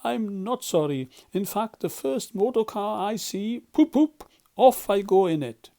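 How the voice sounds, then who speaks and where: A man voicing an excitable, boastful toad in a children's story, with a theatrical, exuberant manner. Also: sits high, unhurried, creaky, pitched above the performer's own voice, heavily acted. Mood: defiant glee.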